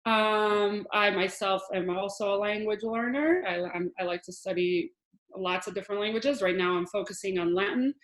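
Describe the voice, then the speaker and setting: A woman's voice: mid-range voice, normal speed. Woman from israel teaching hebrew on a video call, chatty, restarts a lot, echoey room. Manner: explanatory, chatty, laughs often, many restarts. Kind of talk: online lesson with shared slides.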